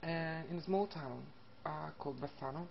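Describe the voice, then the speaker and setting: A woman of thirty from Italy with an Italian accent, telling a personal story casually to camera in a hesitant, halting way. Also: low voice, vocal fry. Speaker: vlogger. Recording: muffled lo-fi webcam audio, background noise. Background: classical music and faint room noise.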